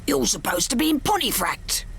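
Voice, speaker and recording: A woman voicing a rough, jumpy male gangster who talks in urgent, blunt slang in an audiobook story. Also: fairly high pitch, big pitch swings, moderate pace, voice pushed up, heavily acted. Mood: horrified. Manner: urgent, blunt, slangy, through clenched teeth.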